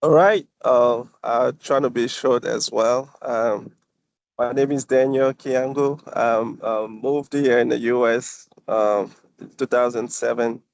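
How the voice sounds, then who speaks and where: A man's voice: mid-range voice, fairly even intonation, takes it slowly, creaky, no room sound, dull audio. A man from Congo, introducing himself earnestly at a committee meeting. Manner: earnest, with fillers. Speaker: committee member.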